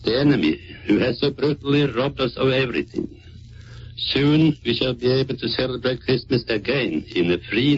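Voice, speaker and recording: A Norwegian man with a Norwegian accent, a serviceman delivering a scripted wartime radio address from the 1940s. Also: medium pitch. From Norway. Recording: noisy muffled 1940s radio recording.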